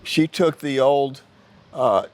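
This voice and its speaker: A man asking questions as a casual, conversational interviewer, slightly halting as he restarts his phrases. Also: mid-range voice.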